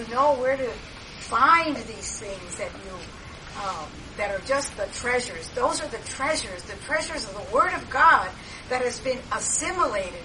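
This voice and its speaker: An older woman sharing at a church meeting, rambling with many fillers and restarts. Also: fairly high pitch. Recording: distant mic, background noise.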